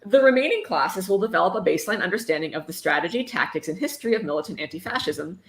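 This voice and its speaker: A woman's voice: lowish voice, expressive, quick pace, gravelly. A woman hosting a casual stream, reading aloud and adding sarcastic, mock-dramatic commentary. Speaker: host reading a book aloud on a fundraising stream. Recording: roomy echo, faint noise.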